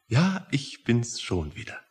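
An actor in a radio drama playing a sinister, needling man, unnervingly calm and mock-polite, with a husky, creaky voice and insistent manner. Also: medium pitch, slow pace, full character voice. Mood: smug, feigned innocence.

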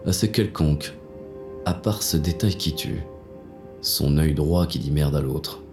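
An actor playing a bitter, world-weary police inspector in a noir audio drama, gruff and slangy as he narrates his own story. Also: measured pace, music in the background. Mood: grim, sardonic. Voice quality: very deep, gravelly. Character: jaded, hard-boiled male police inspector, bitter and shaken.

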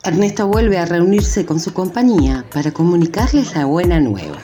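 Radio theatre narrator: woman, lowish voice, lively intonation, scripted delivery over clear music. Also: moderate pace.

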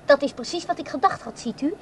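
A high-pitched, timid woman, played by a radio actress, speaks haltingly and apologetically. She sounds unworldly and unsure of herself. The radio play recording carries faint noise. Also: close to an everyday voice. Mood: shy, hopeful. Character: timid, hesitant unworldly woman asking to come along. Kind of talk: radio drama acting.

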